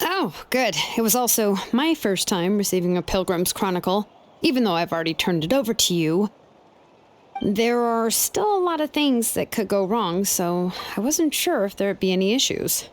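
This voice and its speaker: A woman playing an easygoing young female blacksmith in a video game, with a relaxed, wry way of talking. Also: medium pitch, moderate pace, slight background noise, natural voice. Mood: friendly, casual. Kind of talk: video game character acting. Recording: game music behind. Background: game music.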